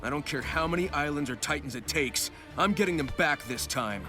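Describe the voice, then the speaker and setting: A man's voice: a little high, animated, brisk, natural voice. A man voicing a confident, determined young hedgehog hero in a video game, breezy but earnest. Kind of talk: video game cutscene acting. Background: music.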